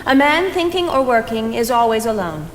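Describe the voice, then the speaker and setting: Prim young visitor: very lively high voice, haughty and formal, stage drama. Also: female voice, moderate pace. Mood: reading aloud. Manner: haughty, formal, crisply disapproving. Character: prim, judgmental, outspoken young woman visitor. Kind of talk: stage play acting. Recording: echoey theatre stage with background noise.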